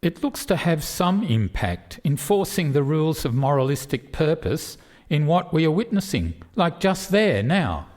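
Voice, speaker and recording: A man plays a challenging, sceptical alien observer in a radio drama. His slightly high voice rises and falls a lot, with a wry, pointed delivery. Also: sing-song, a little slow, a little mellow. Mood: musing. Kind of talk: radio play dialogue.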